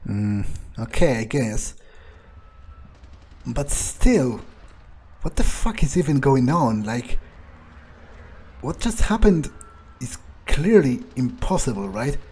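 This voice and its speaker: Guy doing in-character gameplay commentary, medium pitch, kinda husky, hesitant, repeats himself, swears a lot. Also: sing-song, takes it slowly, pauses often, dark tone. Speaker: gamer doing in-character playthrough. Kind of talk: improvised in-character game commentary, thinking aloud.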